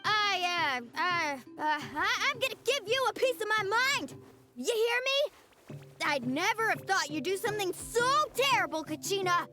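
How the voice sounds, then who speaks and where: A woman's voice: very high-pitched, animated, normal speed. A woman voicing a bubbly young girl in an anime-style game, theatrical and flustered in mock outrage, with music behind her.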